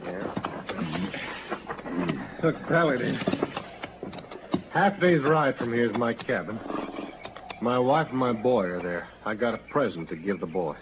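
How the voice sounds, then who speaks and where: A man's voice: medium pitch, lively intonation, a little slow, pauses often, lightly acted. Guy acting a proud folksy outlaw, old cowboy radio show, scratchy and tinny. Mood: earnest, proud. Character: proud, defiant male Western outlaw, a new father. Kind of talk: 1950s Western radio drama acting. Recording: scratchy, noisy, narrow old radio recording.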